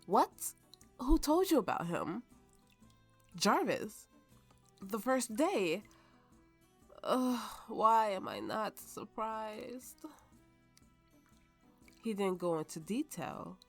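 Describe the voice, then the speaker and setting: A woman's voice: mid-range voice, sing-song, very slow, vocal fry, pauses often, exaggerated voice. A woman voicing a drunken, playful and imperious warrior woman in an ASMR roleplay. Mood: wistful, tipsy. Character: tipsy, haughty, flirtatious warrior woman with a slave. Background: soft background music.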